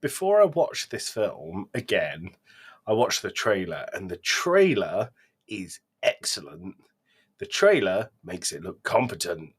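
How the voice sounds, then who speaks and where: A man's voice: sits low, wildly swooping pitch, a little slow, husky. A man co-hosting a podcast in casual banter, with a joking, sardonic manner. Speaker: podcast co-host.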